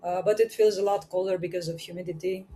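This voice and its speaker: A woman's voice: fairly low pitch, fairly even intonation, fast, warm tone, a little hiss or hum. Thoughtful woman. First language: Romanian. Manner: hesitant, thoughtful, light laughs. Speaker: podcast guest. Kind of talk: casual interview answers.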